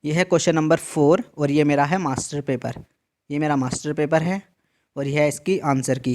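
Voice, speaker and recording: Slightly high-voiced man tutoring step by step. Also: darkish tone. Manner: repetitive, emphatic instructions with frequent "theek hai" check-ins. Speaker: exam tutor. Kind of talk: screen-share tutorial walkthrough.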